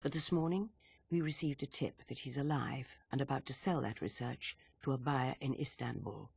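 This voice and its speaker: A man playing a grave senior intelligence chief in a video game cutscene, speaking in a formal, measured, authoritative way with a husky voice. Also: mid-range voice, no room sound. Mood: grave, businesslike.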